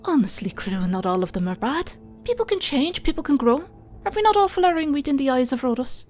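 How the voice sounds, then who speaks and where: A young village woman, as a male narrator puts her on, wide-eyed and kind-hearted. The voice is pitched high and full of curious swoops, in fantasy storytelling with faint music behind. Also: sing-song, brisk, a little mellow. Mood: gently chiding.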